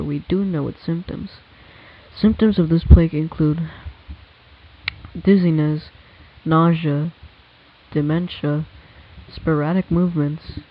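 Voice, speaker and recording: A man playing a government scientist giving a grave, careful public statement, speaking semi-formally and a little haltingly, on a lo-fi recording. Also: on the high side. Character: male government disease scientist addressing the public, grave, hesitant. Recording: dull lo-fi audio with hiss.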